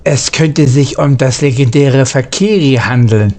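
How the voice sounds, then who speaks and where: A man's voice: medium pitch, unhurried, a little mellow. A man plays through a sci-fi game in character as a starship captain. His voice sits in a middle register as he speaks the captain's replies and orders. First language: German. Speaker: gamer role-playing a starship captain. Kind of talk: in-character game role-play narration.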